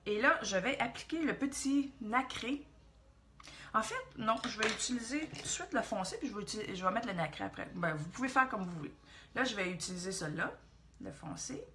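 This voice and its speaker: Bright-voiced Québécois woman in her forties. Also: mid-range voice, animated, brisk. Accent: Quebec French. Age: 40s. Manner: chatty, rambling, thinks aloud. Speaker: makeup tutorial host. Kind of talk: casual makeup tutorial.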